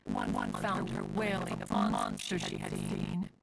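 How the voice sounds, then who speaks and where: A woman's voice: fairly low pitch, wildly swooping pitch, a little slow, vocal fry, exaggerated voice. A woman playing an ancient, dignified adeptus mountain guardian in a video game, speaking in formal, archaic phrasing with fond gravity. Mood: fond, chatty. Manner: archaic, formal, stately phrasing, calling self one. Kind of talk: scripted video game dialogue. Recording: distorted, stuttering game audio with music.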